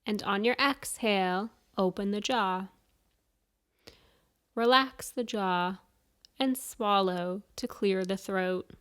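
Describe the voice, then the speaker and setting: Female meditation guide, unhurried and soothing, clear smooth tone, mid-range pitch, leaves space between instructions. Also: fairly bright tone. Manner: soothing, measured instructions with pauses. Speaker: yoga nidra guide.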